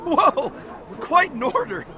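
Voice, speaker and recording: Very high, eager tavern waiter. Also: male voice, big pitch swings, slow, pushed and bright, a faint crowd, full character voice. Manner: eager, servile. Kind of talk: video game cutscene acting.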